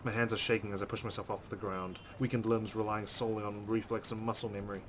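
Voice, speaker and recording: Low-voiced man reading a game aloud, noisy. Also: level tone, fast. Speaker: let's play host. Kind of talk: dramatic read-aloud with casual commentary.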